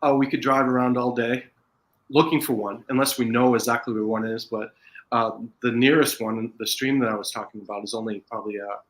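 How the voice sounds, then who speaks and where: American man, rambling and halting. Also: mid-range voice, steady tone, rough recording. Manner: rambling, halting, with frequent restarts. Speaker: podcast guest. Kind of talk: casual chat.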